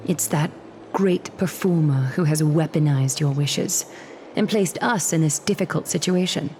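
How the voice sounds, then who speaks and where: A composed noble leader from a video game. She speaks in a fairly low, unhurried and commanding way, with faint music behind her. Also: a little mellow. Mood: measured. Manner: measured, commanding and probing.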